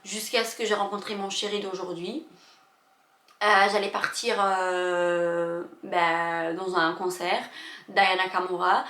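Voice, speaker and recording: A young woman vlogger, not a native French speaker, telling a personal story in an emotional, halting way with many fillers and restarts. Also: fairly low pitch, little pitch movement, a bit bright. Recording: echoey room.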